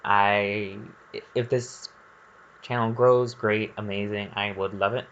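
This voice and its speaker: A man's voice: on the low side, steady tone, slow pace, a bit bright, dull audio, a little hiss or hum. A man giving casual, rambling gameplay commentary, thinking aloud in a candid and hesitant way. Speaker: gaming video creator.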